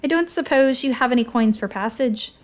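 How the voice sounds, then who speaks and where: A woman voicing a tall, elegant male ferryman of the dead in an audiobook, smooth, condescending and coldly sardonic. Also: sits high, fairly quick, pitched above the performer's own voice, lightly acted. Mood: dry, mildly amused.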